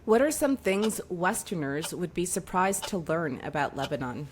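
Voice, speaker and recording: A woman's voice: lowish voice, animated, moderate pace, a little hiss or hum. A woman hosting a casual, playful question-and-answer interview. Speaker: interview host.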